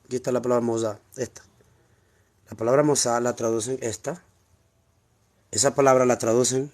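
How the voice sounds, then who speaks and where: A man's voice: mid-range voice, steady tone, a little fast, a little mellow. Honduran man explaining scripture. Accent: Honduran Spanish. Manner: explanatory, repeats key words, asks rhetorical questions. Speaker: preacher teaching scripture. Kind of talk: informal Bible teaching, screen walkthrough.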